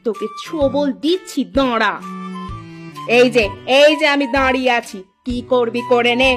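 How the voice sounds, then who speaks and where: Woman acting a high-pitched quarrelsome village wife. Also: moderate pace, lightly acted. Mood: furious, taunting. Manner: scolding, taunting, indignant delivery. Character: quarrelsome, sharp-tongued village wife, quick to take offence. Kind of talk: Bangla cartoon dialogue. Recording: music under the voice.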